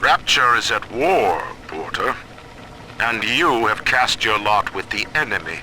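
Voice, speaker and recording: A man's voice: lowish voice, very animated, measured pace, noisy background. An actor playing a stern, imperious male ruler in a video game, speaking in a grandiose, oratorical way. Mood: accusing. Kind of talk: dramatic video game acting.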